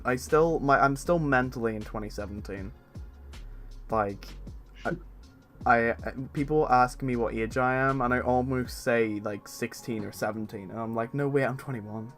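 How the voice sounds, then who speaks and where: A man's voice: mid-range voice, measured pace, pauses often, faint music behind. A man in his twenties chatting casually on a stream, rambling with many fillers and restarts. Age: 20s. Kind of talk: casual chat.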